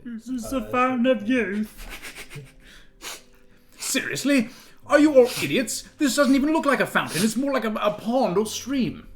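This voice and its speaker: A man's voice: very high voice, animated, measured pace, pauses often, faint music behind. A man casually reading a comic knight's part at a table read.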